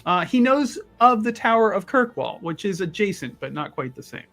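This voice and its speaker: A man's voice: high-pitched, big pitch swings, moderate pace, gravelly, a bit bright. A man playing a tabletop roleplaying game, improvising casually with wordy, playfully formal turns of phrase.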